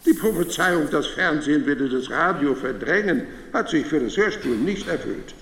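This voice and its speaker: A man playing an earnest radio author giving a formal, measured speech in a reverberant hall. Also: fairly high pitch, lively intonation, a bit bright, slight background noise.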